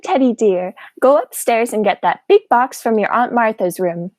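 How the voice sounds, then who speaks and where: A young woman acting a sweet, fussy, chatty elderly aunt with a genteel manner in a high school radio play. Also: animated, moderate pace, a little mellow, no echo, lightly acted. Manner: genteel, fluttery, coaxing.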